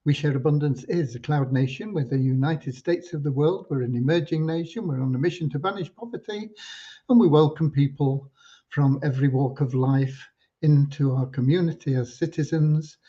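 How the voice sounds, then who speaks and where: A man from Cornwall hosting an informal livestream, talking in an unhurried, earnest, slightly preachy way. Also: on the high side, dark tone, husky. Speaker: livestream host and community leader. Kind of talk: informal livestream updates with devotional talk. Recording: dull lo-fi livestream audio.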